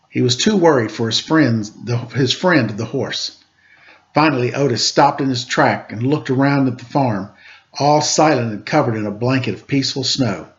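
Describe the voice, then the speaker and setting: A man reading a children's story aloud in an expressive, dramatic storytelling manner. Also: mid-range voice, dull audio. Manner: dramatic read-aloud, acting out sound effects and dialogue.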